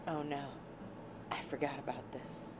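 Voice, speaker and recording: A woman hosting a casual cooking vlog from a small RV, slightly hoarse and scratchy from a cold, chatty and laughing at her own clumsiness. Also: deep voice, level tone, a little slow, loud music behind the voice. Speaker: vlog host. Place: small RV kitchen. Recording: noticeable background noise.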